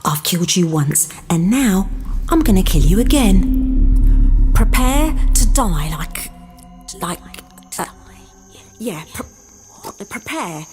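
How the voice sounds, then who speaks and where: An actress playing an ancient female Viking warrior in a comic fantasy audio drama, blustering and halting, by turns grand and matter-of-fact. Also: medium pitch, big pitch swings, over music. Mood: menacing, faltering.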